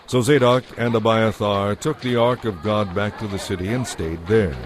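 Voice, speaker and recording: A man's voice: fairly low pitch, normal speed, a little hiss or hum. A man narrating a dramatized Bible story from a script.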